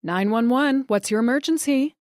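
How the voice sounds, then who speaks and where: A woman voicing a calm emergency dispatcher who gives steady instructions. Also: a little high, moderate pace, no room sound, clean studio sound, voice pushed up, close to an everyday voice. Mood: calm, firm.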